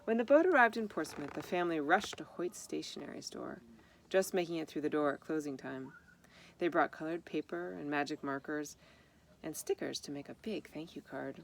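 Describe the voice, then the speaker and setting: A woman reading a children's story aloud in an expressive manner, with informal asides about the pictures. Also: low-pitched, moderate pace, vocal fry. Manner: expressive, acts out dialogue. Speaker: storybook reader. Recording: noticeable background noise.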